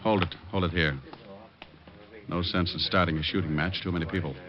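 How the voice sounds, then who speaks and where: A man's voice: low-pitched, steady tone, a little fast, lightly acted. A man playing a cool, wisecracking private detective, breezy and sardonic, in an old radio drama. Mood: urgent, scheming. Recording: muffled old radio recording.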